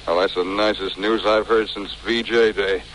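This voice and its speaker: Surly drunk seaman: groggy, deep, grumbly, slightly noisy vintage radio sound. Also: fairly even intonation, measured pace, a bit bright. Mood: bitter. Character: drunken, surly sailor, a ship's purser. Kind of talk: 1940s radio acting. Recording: vintage 1940s radio recording, light noise.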